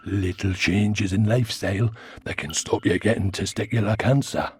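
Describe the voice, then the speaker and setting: A man voicing a chatty, insistent oddball worm-like butler in a radio sitcom, with a raspy British voice. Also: fairly low pitch, normal speed. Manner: chatty, insistent, over-familiar. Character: talking worm and shambling butler, pestering oddball. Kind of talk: comedy radio sitcom acting.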